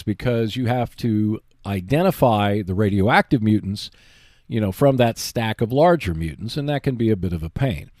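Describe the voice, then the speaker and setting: A man hosting a hobby show, giving explanatory, semi-scripted commentary in a measured, emphatic way. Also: mid-range voice, darkish tone, dry recording, studio quality. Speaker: host of a board game video show.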